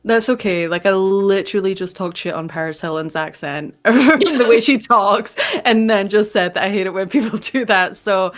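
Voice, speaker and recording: A woman's voice: mid-range voice, normal speed, hardly any pauses. A woman from Scotland whose Edinburgh accent is mixed with American tones, co-hosting a casual live stream. She laughs often and fills her talk with "like". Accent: Edinburgh Scottish mixed with American. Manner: chatty, laughs often, frequent "like". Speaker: podcast co-host.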